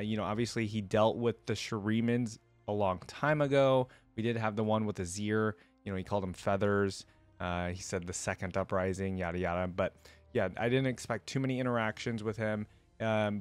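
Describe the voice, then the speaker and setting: A man's voice: fairly low pitch, fairly even intonation, fairly quick, dry recording. A man hosting a reaction video, giving casual commentary in slangy, informal speech. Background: faint music.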